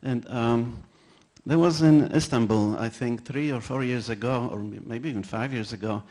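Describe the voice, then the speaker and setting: A man with a Polish accent, a native Polish speaker, giving a conference talk in a halting way, with many fillers and restarts and self-deprecating anecdotes. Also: mid-range voice, a little mellow. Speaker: conference presenter.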